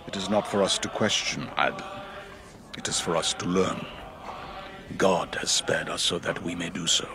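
An actor playing a devout, calculating medieval Saracen commander in a historical audio drama, solemn and philosophical. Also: male voice, low voice, steady tone, moderate pace, long pauses. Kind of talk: historical radio drama acting. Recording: noisy outdoor ambience behind.

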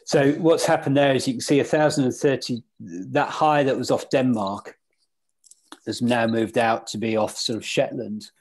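A man's voice: mid-range voice, fairly even intonation, normal speed, breathy edge. A man teaching sailing weather in an online club lecture over a video call, quizzing his listeners as he goes. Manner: interactive, quizzes the audience.

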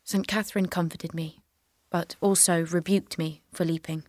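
A woman's voice: on the low side, fairly even intonation, moderate pace, a little mellow, no echo, clean studio sound, lightly acted. A woman playing a devout young captive maiden, earnest and direct, in an audio drama. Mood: subdued testimony. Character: devout, plain-spoken young French peasant warrior maiden held prisoner.

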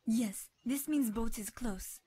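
Woman playing a husky, calm castaway woman. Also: fairly high pitch, slow, dry recording. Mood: reassuring. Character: calm, reassuring castaway woman in a visual novel. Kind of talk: video game voice acting.

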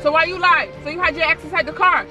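A woman's voice: very high voice, fairly even intonation, fast talker, loud music behind the voice, a loud crowd. A woman in her twenties in casual confrontational banter inside a car, insistent and slangy, often repeating herself. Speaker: couple vlogger.

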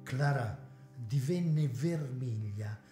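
A man narrating a serial radio drama with an expressive, theatrical reading. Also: medium pitch, very slow, music in the background. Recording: echoey community hall.

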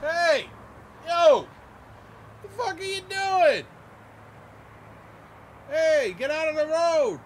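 A man's voice: very high voice, expressive, drawn-out words, long pauses, a little hiss or hum, heavily acted. A man playing a cocky, foul-mouthed, slangy ambulance driver in an amateur horror audio drama. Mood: exasperated, taunting. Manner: slangy, swearing, improvised-sounding.

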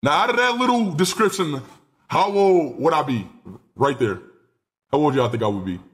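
Excitable nineteen-year-old male streamer. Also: fairly high pitch, moderate pace. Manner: excitable, rambling, swears freely. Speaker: live streamer. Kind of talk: casual live stream chat. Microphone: headset mic.

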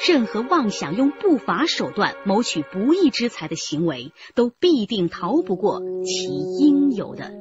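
A woman's voice: medium pitch, big pitch swings, a little slow, few pauses. A woman narrating a scripted radio drama in a solemn, formal manner. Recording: dull sound under music.

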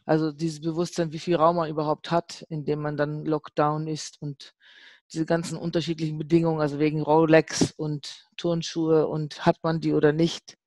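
Middle-aged woman talking on a panel, flat even pitch, dry sound, tentative. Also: normal speed, dry recording. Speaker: panelist, artist and professor. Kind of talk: casual discussion.

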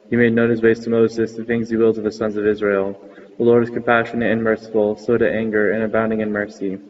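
A man reads psalms in an unhurried liturgical style, holding nearly one pitch throughout. The recording is muffled and dull. His voice trails off into a long church reverb. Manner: steady monotone chant-like reading. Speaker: reader of psalms.

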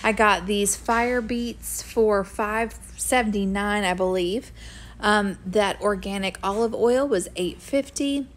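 Chatty woman in a kitchen. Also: medium pitch. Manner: chatty, friendly, quick asides. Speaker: homestead vlogger. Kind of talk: casual grocery haul narration. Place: home kitchen. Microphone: camera a few feet away.

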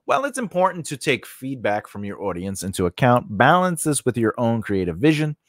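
Male tutorial host, 40s, mid pitch with animated swings, emphatic and informal, close dry studio mic. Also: a little fast, no room sound. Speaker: host of a screenwriting tutorial channel. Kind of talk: informal instructional talk to camera, partly read from notes. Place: indoor home studio. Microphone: close studio condenser microphone on a mount.

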